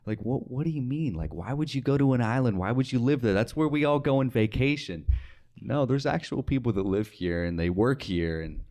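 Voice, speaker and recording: A man's voice: medium pitch, lively intonation, a little fast, mellow. A young American man from North Dakota talking informally and earnestly as an interview guest, thinking aloud with plenty of "like". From North Dakota, United States. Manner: informal, reflective, earnest, with frequent "like". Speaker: interview guest, radio sales associate. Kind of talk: casual conversational interview.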